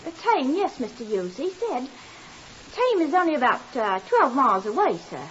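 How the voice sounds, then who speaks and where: Flustered landlady, really high voice, chatty and eager to please, hissy old radio drama. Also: very animated, normal speed, fairly bright tone. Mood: flustered, deferential. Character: fretful, chatty, deferential landlady lending money to her lodger. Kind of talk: 1950s radio drama acting. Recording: dull, hissy 1950s radio recording.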